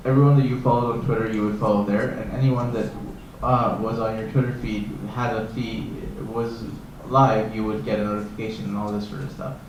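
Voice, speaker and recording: A man's voice: medium pitch, a little mellow, dull audio. Man in a very echoey hall. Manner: explanatory, loose and conversational. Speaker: panelist. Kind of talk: panel discussion. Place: conference hall. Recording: echoey hall from far off, faint noise.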